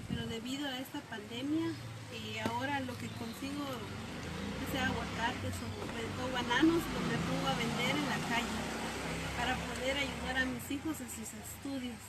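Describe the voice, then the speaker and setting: A woman from Guatemala, a street vendor and mother of four, speaking plainly and haltingly. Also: a little high, steady tone. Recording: loud street noise with traffic.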